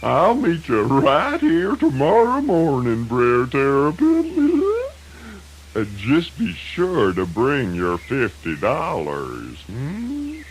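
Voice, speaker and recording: A man's voice: medium pitch, wildly swooping pitch, slow pace, exaggerated voice. A man playing a cocky, gleeful trickster rabbit in a children's radio folk tale, with a Southern American accent and a folksy, teasing, giggly drawl. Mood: smug, giggly. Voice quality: giggly, swooping delivery. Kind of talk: children's radio drama acting. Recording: noisy old radio drama recording.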